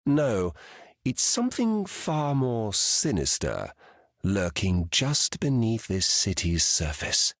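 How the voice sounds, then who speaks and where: A man's voice: on the low side, huge pitch swings, slow, no room sound, studio quality, exaggerated voice. An actor playing an arrogant, ancient male trickster god in a video game, scornful and theatrical.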